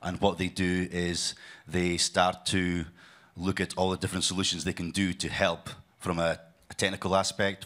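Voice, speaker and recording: A man's voice: low voice, fairly bright tone. A middle-aged man from the UK, giving a conference presentation in a loose style with many fillers and restarts. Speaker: conference speaker, sales leader.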